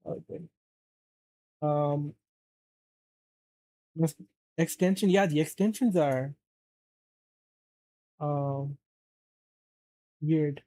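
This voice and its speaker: A man's voice: fairly high pitch, drawn-out words, pauses often, dark tone, dry recording, poor audio. A man from Saudi Arabia casually chatting on a live stream, rambling and answering chat as he plays.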